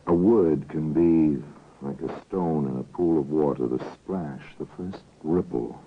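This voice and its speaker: An actor playing a knowing, ominous narrator in an old-time radio drama, with a measured, insinuating delivery. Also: male voice, a bass voice, slight background noise. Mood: ominous, musing. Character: knowing, ominous male storyteller of a mystery tale. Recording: muffled narrow-band vintage radio recording.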